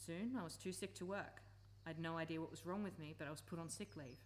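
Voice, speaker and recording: A woman's voice: low-pitched, fast, lightly acted. A woman acting an earnest, persuasive campaigner and former country teacher in a live radio play. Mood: earnest, sorrowful.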